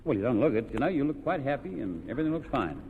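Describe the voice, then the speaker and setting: Lively Australian radio quiz host, echoey hall. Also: male voice, medium pitch, very fast, phone-quality sound. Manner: chatty showman, folksy. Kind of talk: old-time radio quiz show banter and sponsor plugs. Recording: vintage radio recording, echoey hall, background noise.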